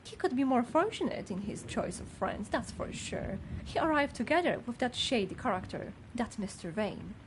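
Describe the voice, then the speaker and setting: A woman acts out a fussy older housekeeper from a video game. She is high-pitched, judgmental and gossipy, speaking with haughty primness. There is clear noise and ambience behind her. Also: animated, moderate pace, hardly any pauses, natural voice. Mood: disapproving, suspicious. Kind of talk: scripted game character dialogue.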